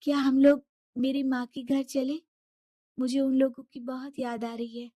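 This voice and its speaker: A woman plays a young cartoon daughter in love, earnest and defiant. She speaks high and emphatically, in a dry, close recording. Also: flat delivery, a little slow, dark tone, dry recording.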